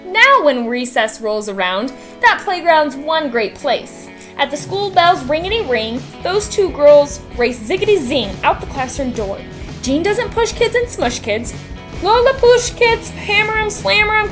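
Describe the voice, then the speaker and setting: Woman storyteller, high voice, very lively swooping intonation, children's book narration, dramatic sing-song delivery, music underneath. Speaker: read-aloud storyteller. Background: background music.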